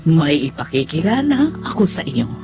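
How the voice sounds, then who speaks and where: An actress playing a gentle, patient orphanage nun who speaks soothingly in a Filipino radio drama. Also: female voice, low-pitched, very animated, moderate pace. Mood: consoling. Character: gentle, consoling orphanage nun, adult woman. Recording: dull lo-fi audio under loud music.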